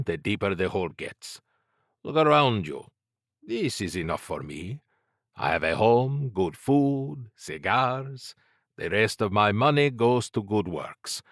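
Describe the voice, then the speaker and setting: A man's voice: medium pitch, big pitch swings, slow, no echo, studio quality, pitched above the performer's own voice. A man voicing a wealthy, cigar-smoking fixer who talks in an expansive, wry, musing manner.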